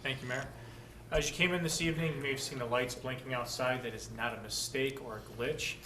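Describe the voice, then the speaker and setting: A man's voice: medium pitch, fairly even intonation, a little fast, pushed and bright. A man giving an upbeat department director's report at a council meeting. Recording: distant mic in echoey chamber with noise.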